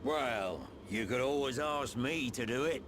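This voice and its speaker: A man's voice: mid-range voice, expressive, measured pace, close to an everyday voice. An actor playing a cocky, casual male adventurer with a British accent in a video game cutscene, over background noise. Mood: wry, offhand.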